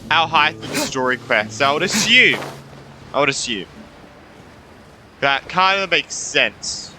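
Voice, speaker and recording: A man's voice: on the high side, sing-song, a little slow, pushed and bright, faint music behind. A man giving casual let's-play commentary, thinking aloud, repeating himself and reading game dialogue out. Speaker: gaming streamer.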